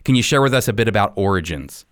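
A man's voice: lowish voice, expressive, fast, fairly bright tone. Encouraging male radio host. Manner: encouraging, addresses listeners directly. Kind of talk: radio ministry interview and announcements.

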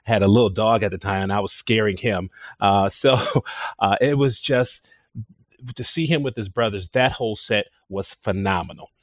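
A man speaking as a guest in a casual roundtable, enthusiastic and halting, with many restarts. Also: on the low side, no echo, clean studio sound. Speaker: panel guest.

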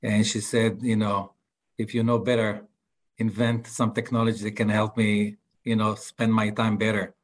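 Casting: middle-aged Israeli-accented man, low even voice, hesitant podcast guest. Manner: halting, many filler sounds. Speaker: guest entrepreneur. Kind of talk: podcast interview.

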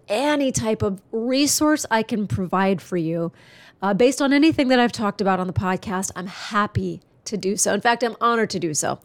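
A woman's voice: medium pitch, dry recording. A woman hosting her own podcast, talking earnestly and chattily to listeners while noticeably congested. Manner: earnest, chatty, warm-hearted encouragement. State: congested, stuffy nose. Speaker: podcast host. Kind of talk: solo podcast monologue.

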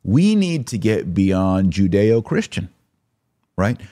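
A low-voiced man delivers opinionated live commentary as a podcast host. His pitch swings widely as he stresses his points. He breaks in with rhetorical questions and speaks straight to his listeners. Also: very animated, slow, dark tone. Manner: emphatic, rhetorical questions and asides.

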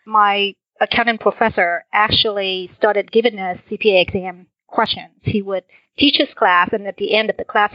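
A woman's voice: mid-range voice, no echo, lo-fi sound. A woman in her forties from Vietnam, a finance executive, telling her career story as a podcast guest, friendly and laughing now and then. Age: 40s. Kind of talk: interview answers.

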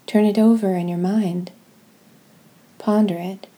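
A woman leading a guided devotional reading in a gentle, deliberate way, pausing between short phrases. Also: mid-range voice, fairly even intonation. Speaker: podcast host leading guided prayer and scripture reading.

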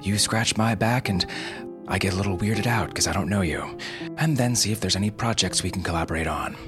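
A man hosting a podcast with scripted comedy, speaking in a deadpan, tongue-in-cheek manner. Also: low voice, fairly quick. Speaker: podcast host. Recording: music behind the voice. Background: music.

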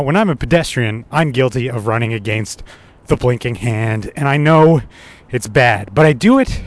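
A man talking conversationally as a podcast co-host. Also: mid-range voice. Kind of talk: conversational podcast talk and opinion monologue.

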